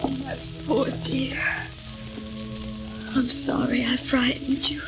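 Frail, loving young wife, high and slow, tender and halting, 1940s radio drama. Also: female voice. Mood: weak, soothing. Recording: dull old radio recording, music.

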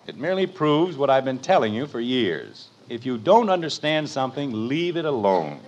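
A man's voice: medium pitch, big pitch swings, normal speed, darkish tone, natural voice. A man playing an exasperated, lecturing father in an old-time radio sitcom, with a dry, put-upon manner.

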